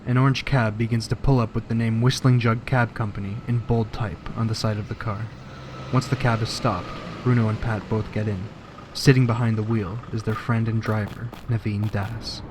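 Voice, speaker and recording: A man's voice: on the low side, little pitch movement, moderate pace, noisy background. A man narrating a scripted audio drama, setting each scene in the present tense.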